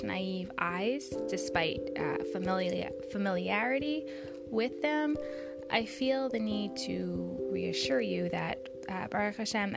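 A woman hosting her own podcast, speaking slowly and deliberately, pronouncing each word carefully, sometimes dropping to a soft whisper. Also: fairly low pitch, gravelly. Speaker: podcast host. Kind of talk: slow, careful spoken podcast introduction.

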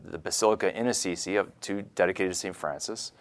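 Low-pitched man, enthusiastic halting explainer. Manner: enthusiastic explainer, halting delivery with repeated words. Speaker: podcast co-host, religious scholar. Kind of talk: conversational religious commentary.

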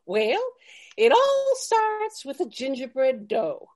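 A folksy lady host of an old-fashioned radio cooking show. Her voice sits high and swoops up and down in fussy, cheerful exclamations. She takes her time over every word. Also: wildly swooping pitch, a little mellow, exaggerated voice. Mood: chirpy, instructive. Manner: exaggerated folksy exclaiming, drawn-out delivery.

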